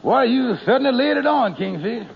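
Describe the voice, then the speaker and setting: A sensible, skeptical man in a 1940s radio comedy, with a high, raspy, gravelly voice. The sound is dull and muffled, like an old radio recording. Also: lively intonation, fairly quick, heavily acted. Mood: dry skeptical.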